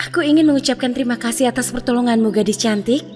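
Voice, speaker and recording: A woman voicing a kind young fairy in a cartoon, speaking in a gentle, sing-song way as she gives instructions. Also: fairly high pitch, rapid-fire, close to an everyday voice. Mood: kindly. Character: magical young female fairy, kind and gracious. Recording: music behind, long reverb.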